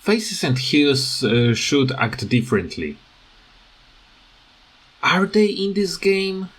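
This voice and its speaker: A man giving casual, unscripted commentary on a video game, hesitant and halting as he searches for words and often stammers. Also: a little high, very animated, a bit bright, breathy edge. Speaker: gaming video creator.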